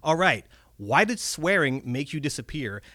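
A jittery young American guy who stammers in disbelief and snaps back with sarcasm, as a man plays him in a story reading. His voice runs a bit high and bounces around a lot. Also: sing-song, normal speed, pushed and bright, no echo. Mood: shocked. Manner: stammering, incredulous.

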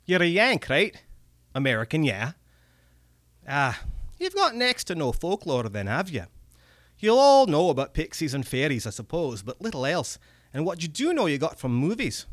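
A man voicing an old male goblin, a red cap, with a gruff, folksy manner. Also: on the high side, huge pitch swings, a bit bright, dry recording, studio quality, pitched above the performer's own voice. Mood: teasing. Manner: gruff, folksy, drawn-out swearing.

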